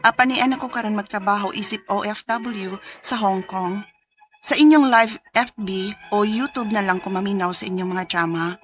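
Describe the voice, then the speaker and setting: Actress as a bright-voiced young Cebuano single mother. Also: medium pitch, lightly acted. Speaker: letter sender narrating her story. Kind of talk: dramatised letter narration, radio drama.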